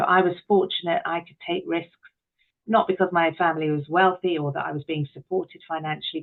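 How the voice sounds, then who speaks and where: A woman's voice: low-pitched, vocal fry. A middle-aged woman speaking as an interview guest, reflective, articulate and emphatic, on muffled call audio.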